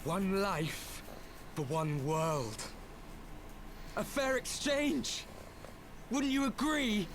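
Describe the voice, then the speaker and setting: Game villain: taunting, theatrical, slow and deliberate delivery, noisy backdrop. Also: male voice, high voice, lively intonation, heavily acted. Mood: coolly menacing. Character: mocking, coolly menacing male villain. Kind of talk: video game cutscene acting.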